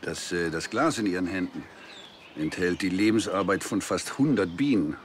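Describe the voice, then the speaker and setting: An actor playing a grave, lecturing beekeeper in a TV crime comedy. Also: male voice, deep voice, moderate pace, a little hiss or hum, close to an everyday voice. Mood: admonishing. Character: grave, admonishing male beekeeper.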